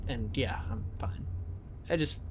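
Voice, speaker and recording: A man acting in an audio drama as a withdrawn, troubled young man who speaks in terse, halting phrases. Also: medium pitch, animated. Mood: guarded, uneasy. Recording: vehicle noise behind the voice.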